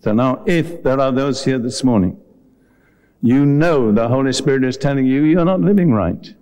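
Male preacher, mellow dark tone, mid pitch, earnest, deliberate, direct sermon delivery. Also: expressive. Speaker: visiting preacher. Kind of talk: sermon with Bible teaching and altar call. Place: live before a congregation at a meeting.

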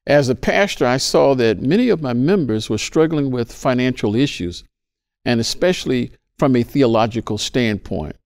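A man's voice: medium pitch, expressive, darkish tone, no echo. Pastor in his sixties, dry close sound. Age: 60s. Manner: earnest, measured testimony. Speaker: pastor, guest. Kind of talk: interview talk.

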